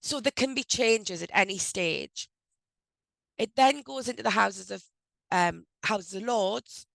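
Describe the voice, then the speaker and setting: A woman hosting an online HR briefing on a video call, speaking loosely with frequent ums and self-corrections, her voice croaky as she recovers from a cold. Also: mid-range voice, fairly bright tone, no echo, low-quality recording. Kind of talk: informal presentation on a video call. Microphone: video call microphone.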